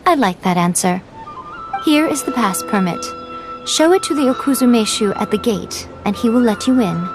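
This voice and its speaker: A woman's voice: on the high side, wildly swooping pitch, measured pace. A woman voicing a sly, self-assured priestess in a video game, playful, poised and a little haughty. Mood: haughty, amused. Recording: music behind the voice. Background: game music.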